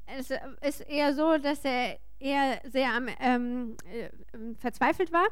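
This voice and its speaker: A woman working as a sermon interpreter, speaking in short, informal and somewhat halting phrases. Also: high-pitched, clear, resonant tone.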